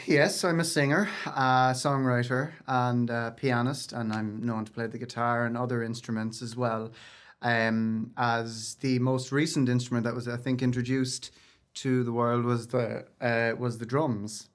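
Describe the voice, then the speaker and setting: A man in his thirties from Ireland, talking as a guest in a relaxed interview. His pitch sits in an ordinary middle range. He tells stories in a chatty way and often backs up to restart a sentence. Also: a little slow. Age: 30s. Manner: chatty and storytelling, with frequent restarts and laughs. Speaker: guest singer. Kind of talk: casual interview chat.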